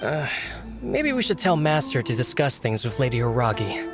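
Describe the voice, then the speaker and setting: A man's voice: mid-range voice, sing-song, moderate pace, over loud music, natural voice. A man playing a fretful, put-upon servant who complains in an exasperated way. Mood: hesitant.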